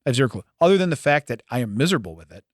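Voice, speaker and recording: A man in his late forties, co-hosting a casual podcast chat, animated and laughing often as he acts out little scenes. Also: mid-range voice, very fast, dry recording, studio quality. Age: 40s. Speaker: podcast co-host.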